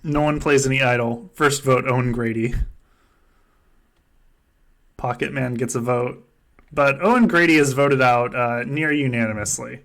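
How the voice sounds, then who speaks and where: A man's voice: mid-range voice, measured pace, pauses often, fairly bright tone. A man hosting a casual comedic recap with friends, swearing freely and often laughing through his sentences. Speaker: podcast host.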